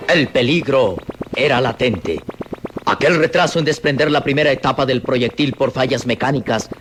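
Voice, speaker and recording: A man's voice: medium pitch, normal speed, music in the background. A man narrating a radio serial in a solemn, suspenseful and emphatic dramatic style.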